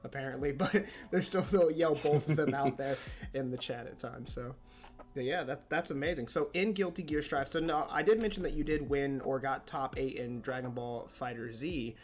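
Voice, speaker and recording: A man hosts an esports player interview in a voice of middling pitch. He is upbeat and chatty, asking long, rambling questions. Faint music runs in the background. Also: normal speed. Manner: upbeat, repeats "that's awesome", rambling questions. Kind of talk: casual interview.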